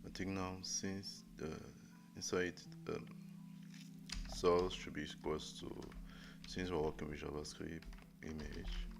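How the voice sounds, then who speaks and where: A young man live-streaming a coding lesson, thinking aloud in a halting way with many fillers and restarts. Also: deep voice, steady tone, vocal fry. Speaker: coding livestreamer. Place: indoor room at a desk. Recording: music playing under the voice. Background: music playing and keyboard typing.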